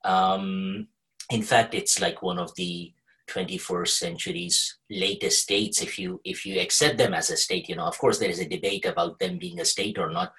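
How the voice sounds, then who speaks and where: Low-pitched man explaining as a guest. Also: steady tone, moderate pace, sharp tone, low-quality recording. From Kuwait. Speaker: podcast guest, researcher with a journalism degree. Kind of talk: conversational interview, explanatory.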